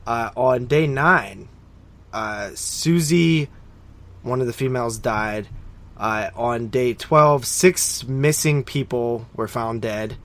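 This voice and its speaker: A man co-hosting a podcast in a casual, informal way, recounting his notes with frequent hesitant pauses. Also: medium pitch, a bit bright. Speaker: podcast co-host.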